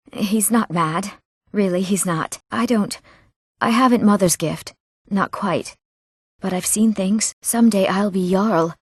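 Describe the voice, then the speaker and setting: An actress playing an eager, earnest young townswoman in a fantasy video game, speaking her scripted lines expressively and clearly. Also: medium pitch, no room sound, studio quality.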